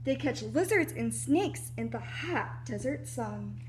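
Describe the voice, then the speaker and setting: A woman reading a children's picture book aloud like a preschool teacher, animated and explanatory, with little asides to young listeners. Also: fairly high pitch, slow pace, background noise.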